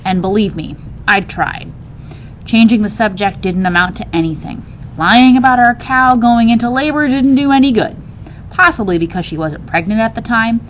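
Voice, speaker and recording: A woman reading a children's novel aloud with animated expression, occasionally stumbling and rereading a word. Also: normal speed. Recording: dull sound with faint noise, rumble.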